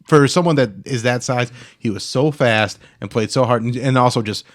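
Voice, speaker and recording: A man's voice: mid-range voice, normal speed. A man hosting a podcast in casual chat, with a loose, informal way of talking. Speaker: podcast host.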